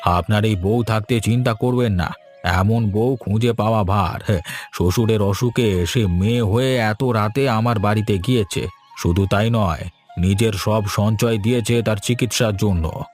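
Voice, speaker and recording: Man playing a low-voiced, solemn village doctor. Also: a little slow, a little mellow, faint music behind, natural voice. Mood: reassuring. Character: grave, kindly village doctor. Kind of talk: Bengali moral-tale cartoon dubbing.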